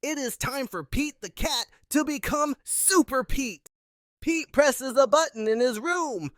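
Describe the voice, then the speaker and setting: A woman with a notably high voice narrates a children's picture book. She reads slowly in short, expressive phrases as a storyteller, with a dry, close sound. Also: no echo. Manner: simple, expressive read-aloud delivery. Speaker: read-aloud storyteller.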